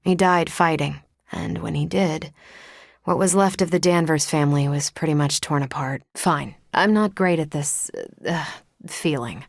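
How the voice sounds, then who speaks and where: An actress voicing a confident, wry, tough but kind superhero soldier in a video game, with an American accent and a dry, casual delivery. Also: female voice, deep voice, unhurried, vocal fry, no echo, heavily acted. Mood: serious, sincere. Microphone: close, dry studio microphone.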